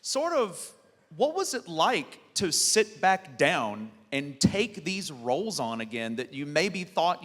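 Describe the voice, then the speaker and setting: Lively, bright-voiced male moderator. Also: medium pitch, big-room sound. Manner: upbeat, asks long winding questions. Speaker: panel moderator. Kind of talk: moderating a convention panel. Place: convention panel hall.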